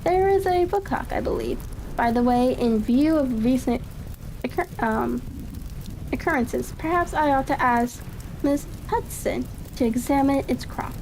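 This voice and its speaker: Genial gentleman detective read by a woman, storybook style, assured and courteous, high mellow voice, faint crackle behind. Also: a little slow.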